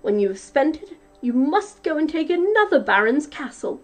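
Giantess, bossy and brisk, pitched up and loud, voiced by a woman narrator; soft music beneath. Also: sing-song. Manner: brisk, scolding. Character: dim, bossy giant's wife.

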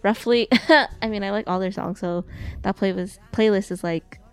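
A talkative woman with a smooth, resonant voice, rambling through a casual podcast chat. She circles her points with plenty of fillers and restarts, and faint music plays behind her. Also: medium pitch, fairly even intonation, moderate pace. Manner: chatty, rambling with many fillers.

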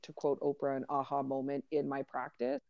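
A woman's voice: a bass voice, expressive, a little fast, creaky, dry recording, highs cut off. A woman hosting a casual mindfulness podcast, talking loosely with fillers and restarts. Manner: rambling, many fillers and restarts. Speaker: podcast host, coach to entrepreneurs.

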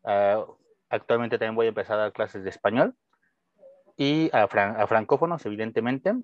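A young Mexican man with a Mexican Spanish accent and a lowish voice talks about his path as a teacher. He hesitates and restarts often. His sound is dry and muffled, like a lo-fi call. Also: normal speed, dry recording. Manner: hesitant, many fillers and restarts, friendly. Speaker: guest, French teacher. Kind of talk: casual interview answers.

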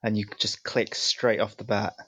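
A man hosting a casual podcast interview, speaking informally in halting, conversational phrases. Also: on the low side, little pitch movement, sharp tone, slightly rough. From Chinese heritage with Hong Kong ties. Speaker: podcast host. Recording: slightly dull, lo-fi sound.

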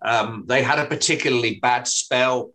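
A middle-aged man from England, a football commentator, telling stories in a relaxed interview with restarts and self-deprecating humour. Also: medium pitch, normal speed, low-quality recording. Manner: chatty storyteller, frequent restarts and self-deprecating laughs. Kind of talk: relaxed anecdotal interview talk.

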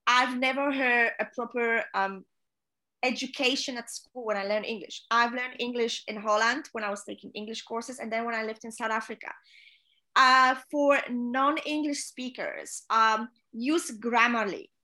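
A woman's voice: on the high side, fairly even intonation, moderate pace, sharp tone. A woman from Poland with a Polish accent, a non-native English speaker, giving earnest, direct career advice as an interview guest, with frequent filler sounds. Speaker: chief stewardess, interview guest.

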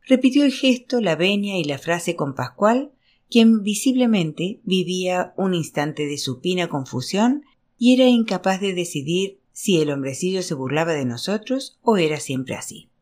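A storyteller reads literary fiction aloud. The voice sits at a middle pitch, and the delivery is expressive, scripted narration. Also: moderate pace. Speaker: audiobook narrator.

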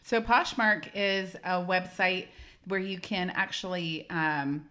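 A woman's voice: on the low side, fairly even intonation, slow, bright, forceful tone. A woman co-hosting a podcast in casual chat, explaining things at length with frequent restarts. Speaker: podcast co-host.

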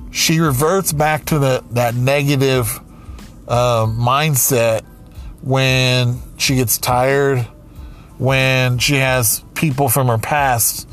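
A man around forty speaking slowly and candidly, pausing and restarting as he thinks aloud. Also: mid-range voice, fairly bright tone. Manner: slow, halting, with many restarts and pauses. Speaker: aspiring writer, comedian and podcaster. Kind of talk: reflective personal monologue.

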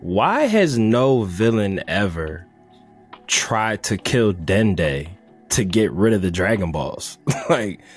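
A young man with a low voice that moves around a lot in pitch. He talks in relaxed slang and jokes along casually, like one of a group of podcast hosts. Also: takes it slowly, slightly rough, faint music behind. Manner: slangy, joking. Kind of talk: casual fan chat.

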